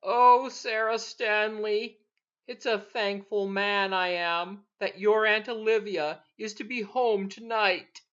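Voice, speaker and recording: A woman's voice: mid-range voice, fairly even intonation, takes it slowly, fairly bright tone, smooth, dull audio, pitched above the performer's own voice. A woman voicing a groaning, theatrical old uncle who complains reproachfully with a lilt. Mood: teasing.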